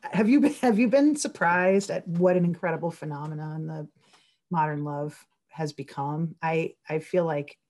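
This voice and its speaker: A woman with a distinctly low-pitched voice talks on a panel as a writer. She is chatty and effusive, drifting into asides in a casual, conversational way. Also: normal speed, darkish tone.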